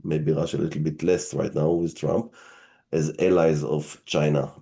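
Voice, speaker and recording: A middle-aged man with an Israeli accent, speaking as a guest on a panel and explaining things in a measured way with halting fillers. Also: rumbling low, level tone, creaky, dark tone. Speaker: guest, science fiction writer. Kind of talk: panel discussion.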